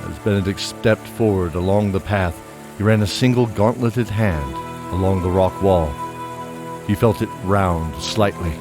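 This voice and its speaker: A man's voice: deep voice, a little slow, over music. A man reading scripted narration for a fantasy audio drama in a descriptive storytelling manner.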